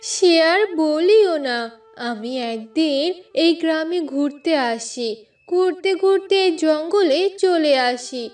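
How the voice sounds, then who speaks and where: Very high, pleading young cartoon maiden. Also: female voice, animated, slow pace, a little mellow, recorded from a distance, heavily acted. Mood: sorrowful. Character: pleading young village woman cursed half to stone. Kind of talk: Bengali cartoon fairy-tale voice acting.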